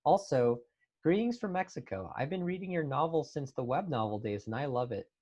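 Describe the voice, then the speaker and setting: Male host, average pitch, informal question-reading delivery, somewhat dull lo-fi recording. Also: animated, brisk, mellow, slightly rough. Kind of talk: casual panel hosting. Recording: slightly dull, lo-fi audio.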